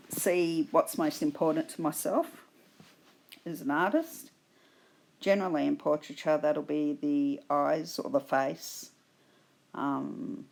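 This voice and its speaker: A woman with an Australian accent, hoarse from a cold, patiently explaining her painting steps in a tutorial voice-over. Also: deep voice, a little mellow. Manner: slow, patient explaining with fillers. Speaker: art instructor.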